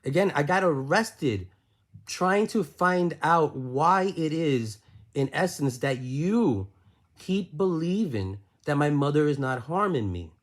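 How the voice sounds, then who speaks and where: A man's voice: a little high, lively intonation, slow pace. A man in a heated phone argument, insistently repeating himself and pressing question after question. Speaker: caller questioning his aunt.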